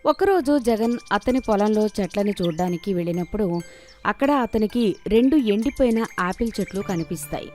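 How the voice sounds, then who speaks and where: Woman narrating children's story over soft music. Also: medium pitch, animated, moderate pace.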